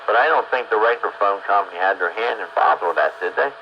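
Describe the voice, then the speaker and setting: A man's voice: fairly low pitch, animated, fast. A man chatting casually on a radio show, in everyday conversational style. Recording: muffled, faintly noisy radio transmission.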